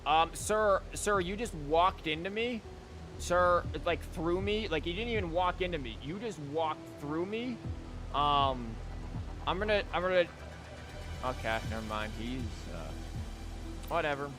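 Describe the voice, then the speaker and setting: A man's voice: a little high, measured pace. A man streaming a video game with casual, wry running commentary, music playing behind him. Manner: wry, joking mutters. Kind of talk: casual live gameplay commentary.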